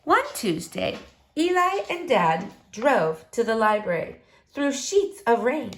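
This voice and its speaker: A woman narrates a children's storybook with dramatic, deliberate phrasing. Her pitch moves around a lot and her pace is unhurried. The room adds a noticeable echo. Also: sing-song. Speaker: storybook reader. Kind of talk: expressive children's read-aloud narration. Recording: roomy, echoing room sound.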